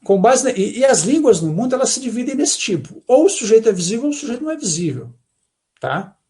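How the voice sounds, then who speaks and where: A man's voice: very high voice, sing-song, quick pace, darkish tone. A man with a Brazilian Portuguese accent giving an academic talk over a video call, explaining step by step and checking in with listeners often. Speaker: lecturer.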